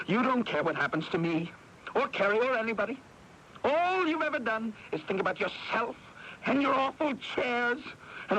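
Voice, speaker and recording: High-pitched, tormented son, noisy radio recording. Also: male voice, fairly bright tone. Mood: furious, accusing. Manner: agitated, repetitive, halting, accusatory. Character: tormented, guilt-ridden adult son with a fierce temper. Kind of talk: 1980s radio drama acting.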